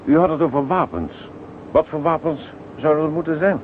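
A man's voice: on the high side, moderate pace, a crowd in the background, natural voice. An actor playing a plain-spoken, seasoned harbour policeman in a radio drama. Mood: matter-of-fact. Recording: tinny, noisy old radio recording.

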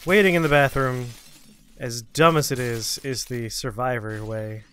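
Guy doing laid-back let's play commentary, muttering to himself and the enemies, mid pitch, bit of background noise. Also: steady tone, measured pace, a bit bright. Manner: talks to himself and to game enemies. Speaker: gaming show host. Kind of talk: casual gameplay commentary.

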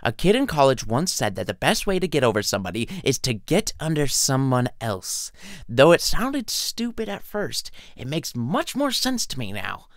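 A man's voice: mid-range voice, sing-song, moderate pace, no echo, clean studio sound. A man voicing a foolish, heartbroken male student in a story, whiny and melodramatic. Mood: cocky.

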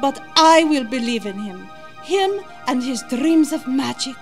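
An actress playing a gentle, devoted immigrant mother in a radio drama. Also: sits high, animated, unhurried. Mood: earnest. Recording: music playing underneath.

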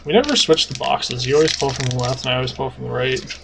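A man's voice: mid-range voice, steady tone, fast, gravelly. A man in his thirties from Canada, co-hosting a card-opening video at home, joking and reading out card names. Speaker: card-opening channel co-host. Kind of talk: casual chat while opening cards. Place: home room with cards on a table. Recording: noisy home camera recording.

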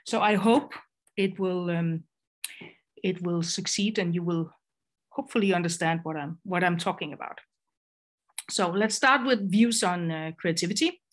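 A woman's voice: on the low side, moderate pace, pauses often. A middle-aged woman from Denmark with a Danish accent, giving a video-call lecture on design, hesitant with many fillers and restarts. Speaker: graphic designer and educator. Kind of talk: online presentation lecture.

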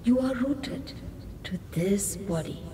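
A woman guiding a breathing meditation in a soothing, unhurried way, as scripted narration. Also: mid-range voice, expressive, dark tone, a little hiss or hum.

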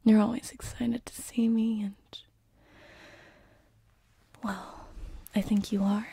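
A gentle female paralysis demon, acted by a woman, murmurs in a very slow, breathy whisper. The voice is soft and mellow with some creak, close to the mic, teasing and soothing in ASMR roleplay style. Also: a little high, no echo, heavily acted. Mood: coaxing, reassuring. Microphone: close binaural microphone.

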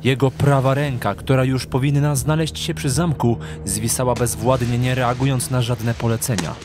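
A man's voice: medium pitch, steady tone, moderate pace. A man narrating a dramatic audio drama from a script. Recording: clear music behind the narration.

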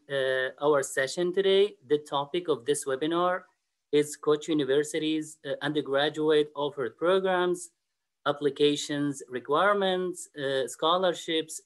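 A man's voice: a little high, moderate pace. Hesitant man presenting an admissions webinar. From Middle East and North Africa. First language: Arabic. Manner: hesitant, frequent uh fillers and restarts. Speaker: university admissions officer.

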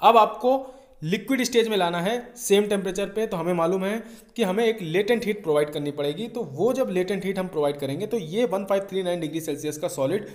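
A man with an Indian accent giving an explanatory exam-prep lecture as an engineering teacher, often pausing to check in with learners. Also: sits high. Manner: didactic, repeatedly checking in.

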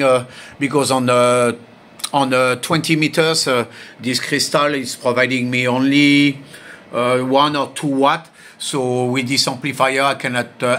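Bright-voiced man. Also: mid-range voice. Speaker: amateur radio operator. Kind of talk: casual hobby demonstration chat. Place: indoor home radio workbench.

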